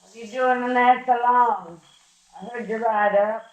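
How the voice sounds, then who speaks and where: A woman's voice: on the high side, steady tone, normal speed, close to an everyday voice, low-quality recording. An actress playing a hard, weary middle-aged frontier mother with blunt rustic speech in a 1950s radio western. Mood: wary, demanding.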